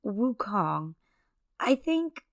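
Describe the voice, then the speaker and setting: A woman playing a calm, polite adventurer in a fantasy audio drama, choosing measured, formal words to keep the peace. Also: medium pitch, dry recording, studio quality, exaggerated voice. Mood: gentle, reasoning. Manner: polite, measured wording, diplomatic.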